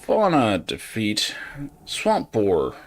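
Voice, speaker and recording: A man's voice: lowish voice, wildly swooping pitch, drawn-out words. A man doing casual gameplay commentary and reading quest text aloud, with dry, muttered, sweary asides. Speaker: game streamer.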